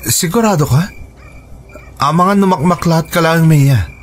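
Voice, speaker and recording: A man's voice: a little high, a little slow, faint music behind, lightly acted. An actor playing a humble young working man, startled and questioning, in a radio drama. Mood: alarmed.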